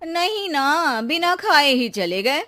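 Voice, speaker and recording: A woman's voice: sits high, sing-song, slow pace, pushed and bright, pitched above the performer's own voice, exaggerated voice. A woman voicing a clever young village wife in a cartoon story, exasperated yet sly and sweet-talking. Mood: feigned innocence.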